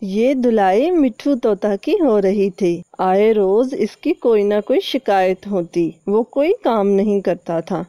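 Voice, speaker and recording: A woman's voice: mid-range voice, expressive, takes it slowly, hardly any pauses, mellow, dry recording, studio quality. A woman narrating a scripted cartoon story as a storyteller.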